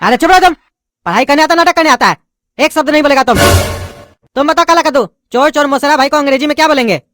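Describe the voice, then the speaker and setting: A woman's voice: high-pitched, quick pace, a bit bright, no echo. A woman voicing an impatient male teacher who scolds and mocks his students, with a lilt. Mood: scolding. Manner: scolding, sarcastic.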